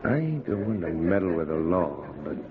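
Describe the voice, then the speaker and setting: A man playing a folksy, easygoing saloon keeper with a gravelly backwoods drawl in an old-time radio adventure. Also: low-pitched, sing-song, moderate pace, over a noisy crowd. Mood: cautious. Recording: muffled, crackly old radio broadcast recording.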